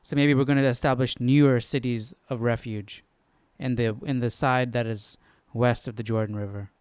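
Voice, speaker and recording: Male Bible study host, smooth clear voice, dry close sound, informal and searching delivery. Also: mid-range voice, fairly even intonation, normal speed, darkish tone, no room sound. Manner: tentative, often checks with "right?". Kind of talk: casual explanatory commentary, thinking aloud.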